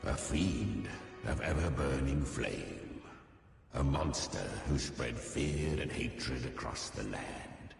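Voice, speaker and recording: A male actor playing an ancient, menacing demonic beast in a fantasy game, telling dark tales in archaic, ominous phrasing with a gravelly voice. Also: rumbling low, exaggerated voice. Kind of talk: dramatic fantasy game storytelling. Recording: heavy echoing reverb over music.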